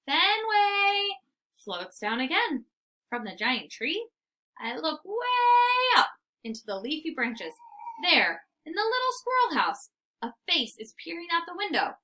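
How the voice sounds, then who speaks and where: Bright, animated woman reading a children's story. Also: high voice, measured pace, rough recording. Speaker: read-aloud storyteller. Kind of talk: expressive children's book narration.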